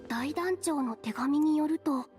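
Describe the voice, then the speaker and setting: A woman voicing a cheerful young woman in a game dub, with a very high, bright voice. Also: fairly quick, soft background music, lightly acted.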